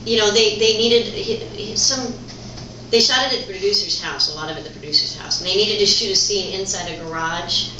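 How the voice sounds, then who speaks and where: A woman's voice: mid-range voice, fairly quick, pushed and bright. A middle-aged actress chatting in a rambling, storytelling way, often restarting her sentences. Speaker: actress guest. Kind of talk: casual conversation, telling stories. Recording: echoey room from far off with noise.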